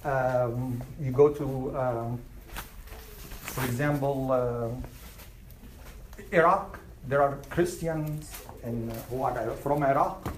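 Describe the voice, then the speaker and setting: A man from Egypt, teaching a class, emphatic and repeating his points. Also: mid-range voice. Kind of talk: classroom storytelling. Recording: echoey room, faint noise.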